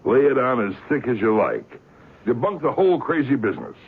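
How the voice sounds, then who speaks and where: Husky, brisk newspaper editor. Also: male voice, mid-range voice, very animated. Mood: commanding. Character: brisk, cynical newspaper editor giving orders. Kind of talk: old-time radio drama acting. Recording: phone-like old radio recording.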